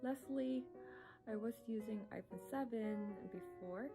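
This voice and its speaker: A woman's voice: a little high, measured pace, music in the background. A young woman with a Korean accent, speaking English, carefully reciting a prepared self-introduction. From South Korea. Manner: careful, reciting a short list of facts. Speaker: violin competition finalist.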